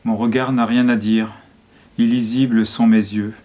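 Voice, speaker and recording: Man reciting poetry in an even monotone. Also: mid-range voice, normal speed. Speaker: poet reading a poem. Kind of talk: poetry reading.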